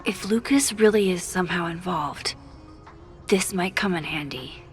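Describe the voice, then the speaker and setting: Woman acting as young female sleuth, lowish voice, slow, hushed, wry, music behind. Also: close to an everyday voice. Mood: puzzled, wry. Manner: musing to herself, hushed, wry. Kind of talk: naturalistic video game voice acting.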